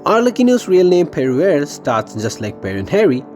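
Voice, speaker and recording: A man's voice: on the high side, huge pitch swings, normal speed, darkish tone, soft background music. A man reading a scripted game lore theory voice-over with dramatic, emphatic phrasing. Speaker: video game lore YouTuber.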